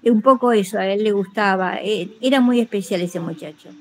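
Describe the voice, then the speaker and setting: An Argentine woman tells of her own experience in a casual way. She is modest and halting, padding her sentences with fillers, and her pitch is average for a woman. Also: a little mellow. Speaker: workshop participant, teacher. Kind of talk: casual personal account. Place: online group call.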